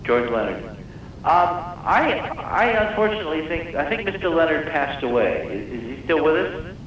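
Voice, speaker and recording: A man's voice: on the high side, very animated, normal speed. A man speaking as a guest researcher on a live radio interview, explaining technical detail emphatically and repeating words for weight. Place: live radio call-in show. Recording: distant, very echoey, muffled noisy radio broadcast.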